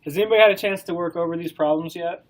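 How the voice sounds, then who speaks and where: A man's voice: a little high, very fast, poor audio. Stuffy-nosed man lecturing a class. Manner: relaxed, many ums, asks class questions. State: stuffy from a cold. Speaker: college instructor.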